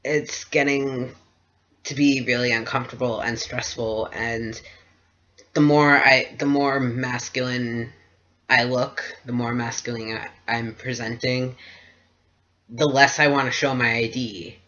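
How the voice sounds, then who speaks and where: A young man speaks slowly and flatly, keeping his pitch in a narrow band while thinking aloud in a video diary. His tone is bright and slightly tense, and his manner is hesitant and reflective. The recording sounds dull and lo-fi, as if from a basic camera mic. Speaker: vlogger.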